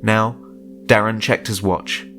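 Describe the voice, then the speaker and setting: A man's voice: on the low side, little pitch movement, slow, pushed and bright. A man reading a scripted horror story as narrator, in a dramatic storytelling style. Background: music.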